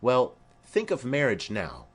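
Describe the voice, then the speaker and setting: A man's voice: medium pitch, huge pitch swings, a little slow, slightly rough, exaggerated voice. A man voicing a formal, insistent noblewoman mother in a Shakespeare play reading. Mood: persuasive. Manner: formal, imperative.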